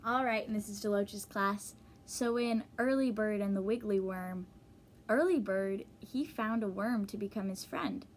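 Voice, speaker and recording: A woman teacher reading a children's storybook aloud, pausing to explain and ask young listeners questions. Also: mid-range voice, a little hiss or hum.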